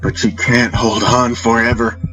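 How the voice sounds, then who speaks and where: A man's voice: mid-range voice, flat delivery, unhurried, bright, forceful tone, voice pushed up, rough recording. A man voicing an anguished young warrior who pleads desperately, in an audiobook drama over music. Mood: pleading, anguished. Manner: wailing, impassioned pleading.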